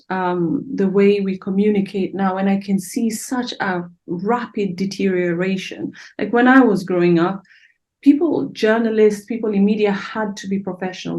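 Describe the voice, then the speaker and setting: A woman in her forties from Somalia, native Somali speaker, hosting an interview over video call and asking eager, animated questions. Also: medium pitch, measured pace. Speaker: podcast host. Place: small bright room at home.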